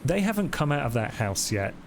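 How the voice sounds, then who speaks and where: A man improvising narration as game master of a tabletop roleplaying game, in a halting, descriptive way. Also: medium pitch, very animated, slight background noise.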